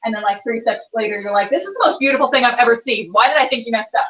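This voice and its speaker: A woman with a fairly high voice talks to camera in a casual, rambling, playful way, like a cooking host who chats to herself as she works. She sounds some distance from the microphone. The room is clearly echoey and the sound a little dull. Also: racing, a bit bright.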